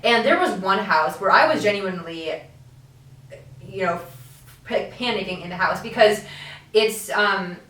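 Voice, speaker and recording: A young woman tells stories animatedly, leaning on filler words as she goes. Her voice is at a medium pitch. It comes from a roomy, echoing space, picked up from a distance. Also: measured pace, pauses often. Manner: animated storytelling, many fillers. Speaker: podcast co-host. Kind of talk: casual chat. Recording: echoey room, voice off-mic.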